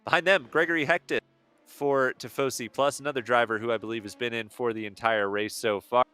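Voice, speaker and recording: A male commentator on a live sim racing stream, friendly and a little halting as he reads out the standings. His voice sounds bright and forward, and it comes through smooth and crisp. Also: medium pitch, fairly even intonation, no echo. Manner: friendly, laughs easily, halting with fillers. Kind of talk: live race commentary and chat.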